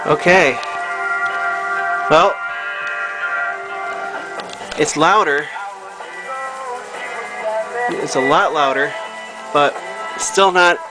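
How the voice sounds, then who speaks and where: A man with a fairly high voice talks through a hands-on repair in a casual way. He thinks aloud as he works, speaking informally into his own handheld camera, with music clearly audible behind him. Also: drawn-out words, long pauses, rough recording. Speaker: hobbyist repairing vintage audio gear. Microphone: handheld camera he operates himself.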